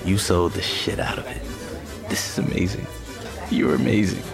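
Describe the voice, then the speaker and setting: An actor playing a wry, pragmatic partner in an audio drama, with a dry, brisk way of talking. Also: low voice, steady tone, gravelly, over music. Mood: supportive. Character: wry, pragmatic partner, supportive but quick to bolt. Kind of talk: audio fiction acting.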